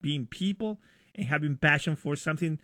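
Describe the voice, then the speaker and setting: A middle-aged man from Argentina with an Argentine accent, speaking as a guest in a casual radio interview, enthusiastic and a little halting. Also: fairly high pitch, dry recording, studio quality. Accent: Argentine Spanish. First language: Spanish. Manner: enthusiastic, warm storytelling with hesitations.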